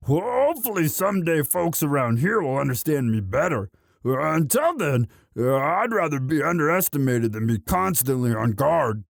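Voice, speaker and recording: A man playing a young orc, a gentle himbo sweetheart who talks in a halting, stammering, bashful and earnest way in a romantic roleplay audio. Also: fairly high pitch, big pitch swings, gravelly, no echo, clean studio sound. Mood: earnest, reassuring.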